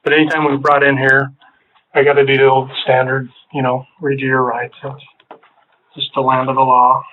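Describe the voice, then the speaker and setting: A man's voice: monotone, moderate pace, slight background noise. A man working as a police detective, questioning someone in a casual, friendly way in a police interview room. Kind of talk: interrogation small talk. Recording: tinny low-fidelity room recording.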